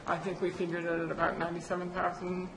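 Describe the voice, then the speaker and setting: A woman presenting a county budget update at a public board meeting, halting with many fillers and restarts. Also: fairly low pitch, monotone. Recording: echoey chamber with air conditioner noise.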